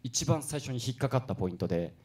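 A man with a mellow, soft-edged voice talks quickly as a radio guest. His pitch moves around a lot as he tells stories in an excitable, polite and casual way. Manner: excitable, animated storytelling with acted-out quotes. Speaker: guest performer new to voice acting.

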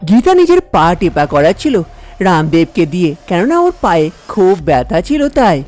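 A woman narrating a cartoon story, dropping her voice lower to play the men. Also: very animated, moderate pace, a little mellow. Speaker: narrator voicing all male characters. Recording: music bed under the voice.

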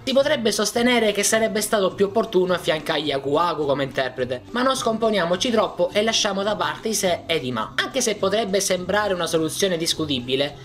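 A man's voice: high voice, a bit bright. A man reading a scripted video essay narration in speech. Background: background music.

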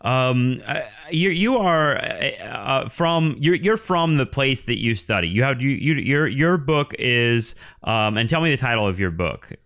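A man hosting a podcast interview, talking in a halting way with many restarts and fillers. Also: mid-range voice, expressive, vocal fry, fairly bright tone. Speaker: podcast host.

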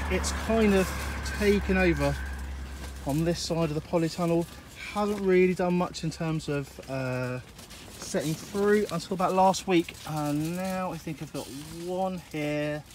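A man's voice: a little high, noisy background. A man giving a casual, rambling walkthrough of his allotment and polytunnel, filmed on a handheld phone outdoors. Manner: relaxed and rambling, with frequent uhs. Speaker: allotment gardener giving a tour. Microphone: handheld phone camera, filming while walking.

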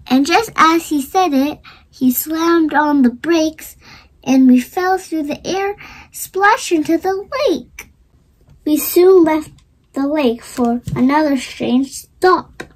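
Slow, high-pitched child reading a storybook. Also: poor audio. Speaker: storybook reader. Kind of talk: expressive read-aloud of a rhyming children's storybook.

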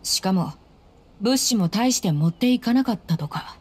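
A woman's voice: mid-range voice, expressive, normal speed, darkish tone, husky. A woman playing a bold, easygoing mercenary in a video game, tomboyish, blunt and familiar. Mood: teasing, curious.

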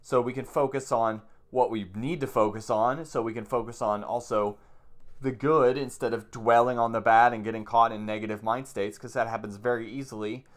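A man's voice: mid-range voice, fairly even intonation, normal speed. Plain-spoken man teaching meditation. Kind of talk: reading aloud from a book with informal commentary. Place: small room at home, indoors.

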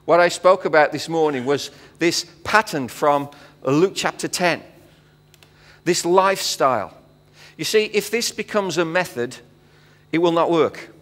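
A man's voice: fairly high pitch, unhurried, fairly bright tone. A middle-aged man preaching from a church stage in a chatty, joking way with frequent fillers. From England. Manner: conversational preaching with fillers and quips. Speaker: visiting pastor. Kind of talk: sermon. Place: church hall stage with audience. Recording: long hall reverb.

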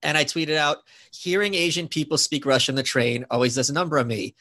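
Man, 30s, slightly high voice, lively host on a video call. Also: quick pace. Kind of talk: casual video-call interview. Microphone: video call, earbuds.

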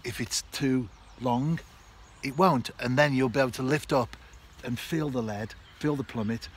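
Guy in his 50s explaining fishing outside, short measured phrases, bit of background noise, normal pitched voice. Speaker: angler and vlogger. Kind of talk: casual instructional fishing vlog. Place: outdoors on a canal bank. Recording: clear outdoor background noise.

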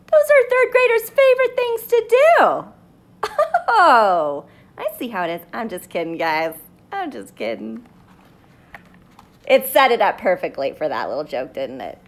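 A playful woman in her thirties doing a children's read-aloud. Her voice is fairly high and very animated, and she takes her time, speaking slowly and chatting straight to the kids as a teacher would. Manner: expressive, playful, addressing children directly. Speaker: teacher reading aloud to pupils. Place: living room at home, indoors.

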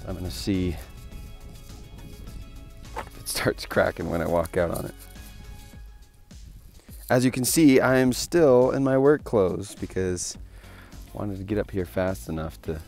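Low-voiced american guy out ice fishing, chatty and relaxed, phone cam, faint music behind. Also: a little slow, creaky, long pauses. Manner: easygoing, drops to a hushed murmur while landing a fish. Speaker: fishing vlogger. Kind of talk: casual outdoor vlog narration. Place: outdoors on a frozen lake and in a car. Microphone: handheld phone camera.

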